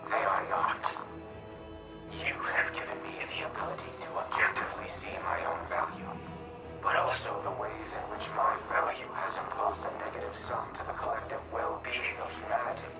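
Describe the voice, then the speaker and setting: An actor playing a grave, ancient military AI in a video game, speaking in a measured, formal and solemn way. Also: male voice, lowish voice, natural voice. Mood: grave, remorseful. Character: grave, remorseful ancient male war AI in a machine body. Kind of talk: scripted video game dialogue. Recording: game music behind, roomy echo.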